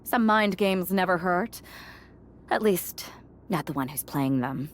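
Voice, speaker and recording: A shrewd female wrestler scheming in a video game scene. Her voice sits fairly low, and she speaks with cool, wary calculation. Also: lively intonation, a little slow, a little hiss or hum. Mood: wary, calculating. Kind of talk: video game cutscene dialogue.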